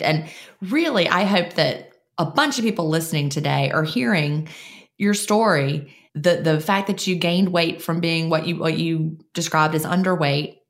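A woman's voice: lowish voice, gravelly. A woman hosting a podcast, chatting casually and encouragingly with her guest. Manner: encouraging, affirming. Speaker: podcast host. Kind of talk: casual interview chat.

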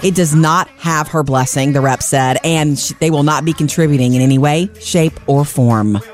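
A woman's voice: deep voice, moderate pace, faint music behind. A woman hosting a radio show, chatting enthusiastically with callers and reading entertainment news.

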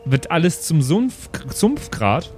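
A man's voice: on the high side, very animated, takes it slowly, darkish tone, faint music behind. A man playing a cautious adventurer in a casual tabletop role-play. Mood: wary.

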